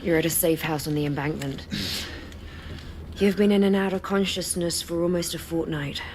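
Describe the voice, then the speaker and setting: Actress doing composed victorian rebel woman, low voice, formal and earnest, game cutscene with noisy ambience. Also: fairly even intonation, a little mellow, close to an everyday voice. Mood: calm, guiding. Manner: formal, measured, earnest phrasing. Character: composed, resolute female rebel leader in Victorian London. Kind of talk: dramatic video game cutscene acting.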